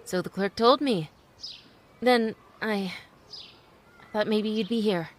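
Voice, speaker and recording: An actress playing a gentle, guarded young mother, proper and hesitant, in an old-time radio drama. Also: mid-range voice, takes it slowly, slight background noise, natural voice. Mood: hesitant, warm.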